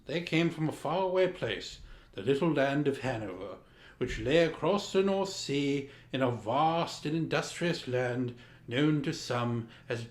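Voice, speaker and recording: A man playing a pompous Georgian lord, reading a children's storybook with dramatic, deliberate diction. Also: medium pitch, lightly acted. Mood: stately. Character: pompous Georgian English lord reading a mad royal tale. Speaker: storyteller, host in the guise of a lord. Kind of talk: theatrical children's storybook narration. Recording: roomy echo, music underneath.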